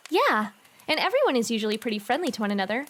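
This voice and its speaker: A woman's voice: on the high side, rapid-fire. A woman playing a chatty, bubbly modern schoolgirl in a fan audio drama. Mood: cheerful, reminiscing. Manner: chatty, expressive.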